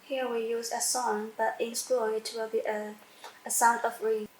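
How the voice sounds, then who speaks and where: A woman giving an unscripted step-by-step software demonstration, halting as she searches for words and repeats phrases. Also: on the high side, little pitch movement. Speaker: software tutorial presenter. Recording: echoey room with faint background noise.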